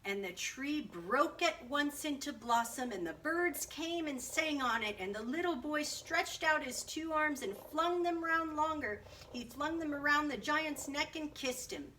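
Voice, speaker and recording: A woman narrating a children's story aloud in an expressive read-aloud style. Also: sits high, measured pace, hardly any pauses. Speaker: storybook reader. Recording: distant mic, faint background noise.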